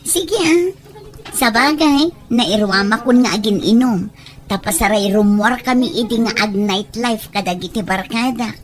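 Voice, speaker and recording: A woman's voice: fairly high pitch, moderate pace, close to an everyday voice. A woman with a Filipino accent playing a sociable, easygoing young village woman in an audio drama, agreeable and conversational. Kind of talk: Ilocano audio drama acting. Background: background music.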